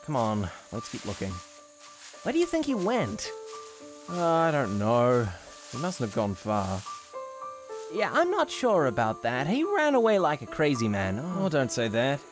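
An anxious, quarrelsome cartoon boy made of a cup, played by a man, with hugely swinging pitch. He sounds excitable and exasperated, taking his time over words with music behind him. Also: unhurried. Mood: worried. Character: two young cartoon cup brothers, anxious and argumentative. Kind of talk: comic dub voice acting.

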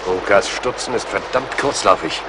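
An actor in a German radio play as a gallant but anxious young army major, earnest and urgent. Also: male voice, fairly low pitch, expressive, moderate pace, heavy background noise, close to an everyday voice. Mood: excited, alarmed.

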